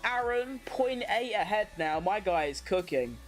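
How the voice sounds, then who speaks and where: A woman's voice: medium pitch, lively intonation, unhurried, soft background music. A woman hosting a watchalong, giving casual live motorsport commentary in an informal, slangy way, as if thinking aloud. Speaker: livestream watchalong host.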